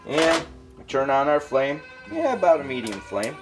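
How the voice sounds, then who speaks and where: A man with a Detroit accent hosts a homemade cooking show from his kitchen. He talks in a casual, chatty way, a little high in pitch, walking viewers through each step as he goes. Soft guitar music plays faintly behind him. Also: big pitch swings, moderate pace. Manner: chatty, explains steps as he works. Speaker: home chef and cooking show host. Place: home kitchen, indoors.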